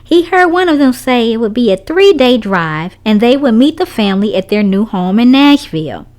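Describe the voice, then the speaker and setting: A woman's voice: on the high side, big pitch swings, normal speed, a little mellow. A woman reading a children's story aloud, narrating expressively for young listeners.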